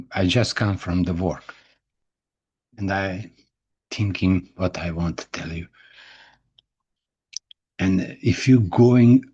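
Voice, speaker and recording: Middle-aged Croatian-accented man, native Croatian speaker, low husky voice, halting broken English with constant restarts, nervous to-camera talk. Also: muffled recording. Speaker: indie author and book vlogger.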